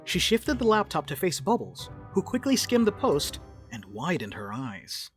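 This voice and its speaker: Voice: mid-range voice, wildly swooping pitch, normal speed, soft background music. A narrator reading scripted stage narration for a dramatic reading.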